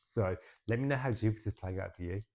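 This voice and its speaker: Gravelly low-voiced man, chatty astrology reading. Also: quick pace. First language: English. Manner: expressive, chatty, swears casually, says "right?" often. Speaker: astrology and tarot reader.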